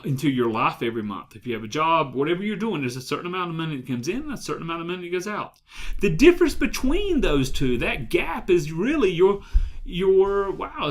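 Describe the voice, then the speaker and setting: A man giving a motivational talk as a coach, emphatic, repeating key phrases and asking the listener direct questions. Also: a little high. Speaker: life and business coach.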